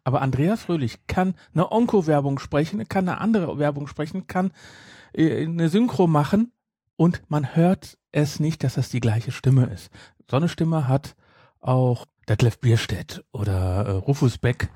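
A man's voice: a little high, animated, unhurried, mellow, husky, no echo, clean studio sound. A middle-aged man in casual podcast conversation, making his points emphatically and repeating them for stress. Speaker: podcast host. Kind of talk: casual chat.

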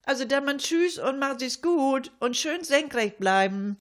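A woman's voice: high-pitched, normal speed, close to an everyday voice. A woman playing a chatty older lady in a comic monologue, rambling colloquially and addressing her listeners with mock indignation. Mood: chatty, wry.

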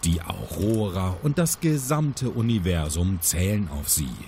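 Audio drama role: solemn, formal agency instructor played by a man, lower register, very expressive intonation, faint background noise. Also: a little slow. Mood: solemn, urgent. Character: solemn male agency instructor briefing agent trainees. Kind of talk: scripted audio drama narration.

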